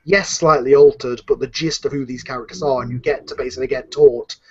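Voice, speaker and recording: A man hosting a casual cast Q&A as the series writer, talking in a rambling, halting way and often interrupting himself. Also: medium pitch, fairly even intonation, slightly rough, lo-fi sound. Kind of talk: casual online cast Q&A chat.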